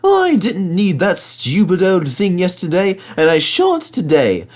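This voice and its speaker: A young storybook tank engine, boastful and excitable. The pitch sits high and leaps around wildly. Phrases come out as emphatic, repeated exclamations. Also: male voice, measured pace, exaggerated voice. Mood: cocky, dismissive.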